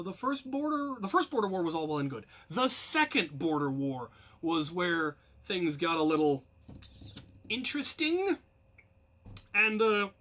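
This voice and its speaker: A man with a high, bright, forceful voice hosts a casual storytelling video. He rambles through his anecdotes in a self-deprecating way, leaning on words for animated emphasis. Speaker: storyteller, channel host.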